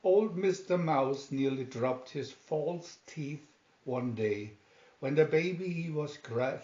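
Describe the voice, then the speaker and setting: A man reading a children's story aloud in a deliberate, dramatic storytelling manner. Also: mid-range voice, a little mellow. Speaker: storybook reader. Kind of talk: scripted children's story narration, read aloud. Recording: roomy, slightly dull home recording.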